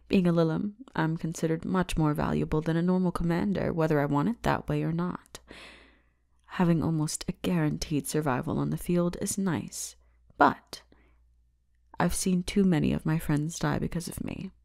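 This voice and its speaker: Woman acting a mellow, low-voiced, commanding demon princess. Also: fairly even intonation, no echo, studio quality. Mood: weary, confiding. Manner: soft-spoken, unhurried, slipping into breathy whispers. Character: commanding, teasing young demon lord's daughter, dominant yet caring. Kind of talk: ASMR roleplay, intimate scripted character acting.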